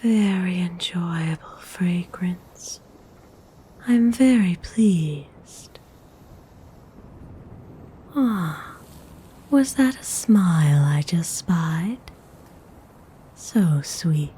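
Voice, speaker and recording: A woman playing a courteous, commanding vampire noblewoman in an ASMR roleplay, speaking in a soft, mostly whispered voice with genteel, formal phrasing. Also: on the low side, animated, slight background noise. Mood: tender, admiring. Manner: genteel, unhurried, formal wording.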